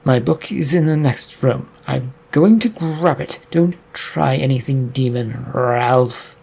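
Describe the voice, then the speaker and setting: Scared stubborn preacher standing up to a demon, husky tone, read by a man. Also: medium pitch, takes it slowly, a little mellow, heavily acted. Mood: fearful defiant. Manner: nervous but defiant. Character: fearful stubborn male preacher.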